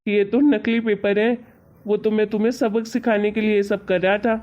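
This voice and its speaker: Exasperated cartoon husband acted by a woman, chiding and colloquial, mid-pitched, lo-fi audio. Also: level tone, normal speed, natural voice. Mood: reasoning. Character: exasperated young husband, patient and teasingly lecturing. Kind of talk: amateur Hindi cartoon story dialogue. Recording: dull, lo-fi audio.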